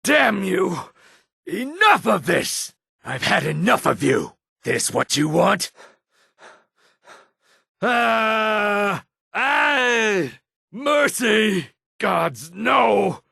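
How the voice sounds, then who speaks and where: A man voicing a gruff, combative male lizard-man townsman in a fantasy video game, with a raspy, throaty voice and a curt, theatrical delivery. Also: high voice, big pitch swings, very slow, pauses often, sharp tone, dry recording, clean studio sound, exaggerated voice. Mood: furious, then taunting, threatening, then pained. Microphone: close studio microphone, dry.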